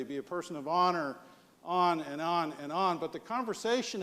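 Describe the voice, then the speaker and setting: High-voiced American man speaking in a reverberant hall. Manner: conversational, rhetorical questions with "right?" tags. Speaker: conference speaker. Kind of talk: live leadership presentation.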